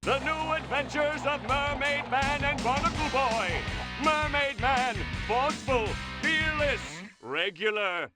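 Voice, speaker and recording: A heroic announcer introducing a cartoon superhero show. His delivery is very high, grandiose and emphatic. Loud music plays beneath the voice. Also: slow.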